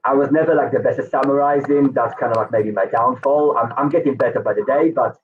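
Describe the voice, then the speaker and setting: A man's voice: mid-range voice, quick pace. A man in his thirties from Israel with an Israeli accent, a guest in an interview, telling his story casually with many fillers and restarts. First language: Hebrew. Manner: rambling, lots of fillers and restarts. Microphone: video call. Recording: distant, tinny call audio with faint noise.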